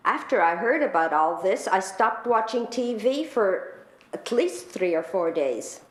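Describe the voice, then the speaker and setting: A woman playing an ordinary interviewee in an animated film, chatty and animated. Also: mid-range voice, unhurried, echoey room.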